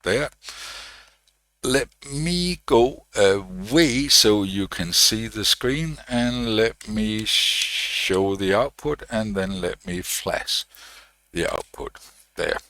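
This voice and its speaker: A man's voice: lowish voice, expressive, drawn-out words, pushed and bright, dry recording. A man hosting an electronics tutorial, talking casually through his project with frequent fillers and restarts. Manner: thinking aloud, frequent uh and restarts. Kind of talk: casual technical walkthrough. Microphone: desk microphone, switched on and off.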